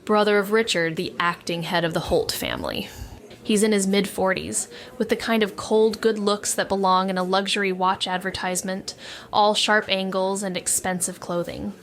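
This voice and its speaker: Young ex kid detective in a noir podcast drama, careful and worried, everyday mid voice. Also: female voice, medium pitch, fairly bright tone. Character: cautious anxious woman, former child detective, noir narrator. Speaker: narrator and lead actor. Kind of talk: audio drama narration and dialogue.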